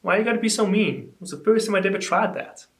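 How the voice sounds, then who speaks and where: A young man chatting casually in a reaction vlog, reading comments aloud and reacting with animated disbelief. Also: quick pace. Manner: animated, incredulous, rising pitch when exasperated. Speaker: YouTuber. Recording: echoey room.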